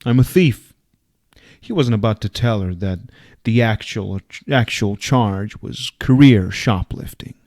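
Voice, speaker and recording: A man reading fiction aloud in a dramatic, expressive audiobook style. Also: fairly low pitch, measured pace, hardly any pauses. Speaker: reader.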